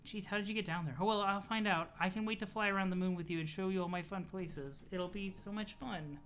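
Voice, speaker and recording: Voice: medium pitch, fairly even intonation, a little fast, fairly bright tone, slight background noise, lightly acted. A streamer voicing an earnest, cheerful young girl who is chatty and sweet as she reads out a letter. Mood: eager, affectionate.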